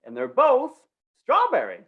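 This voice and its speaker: A woman's voice: high voice, wildly swooping pitch, lo-fi sound, full character voice. A woman playing a flustered, folksy, dithering small-town announcer in an old-time western radio play. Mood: fussy, genial. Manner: dithering, halting, folksy, repeats words.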